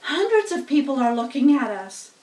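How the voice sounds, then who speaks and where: A reader plays an anxious farm woman from a storybook, fussy and exclaiming. Her voice sits very high, in a roomy recording heard a little way from the mic. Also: very animated, normal speed, a little mellow. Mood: nervous. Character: anxious, fussy farm wife scolding at the fair. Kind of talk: storybook character voice. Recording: roomy, distant-sounding home recording.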